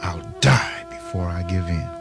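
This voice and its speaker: Deep guy narrating a radio play, scripted, music playing behind him. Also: unhurried, vocal fry.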